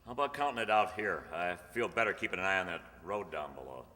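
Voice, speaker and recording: A man's voice: low voice, big pitch swings, quick pace, pushed and bright, full character voice. A man playing a tough, unbribable undercover detective in an old-time radio crime drama, curt, commanding and dry. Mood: guarded, businesslike. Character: tough, unbribable undercover police detective holding crooks at gunpoint. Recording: echoey hall stage recording.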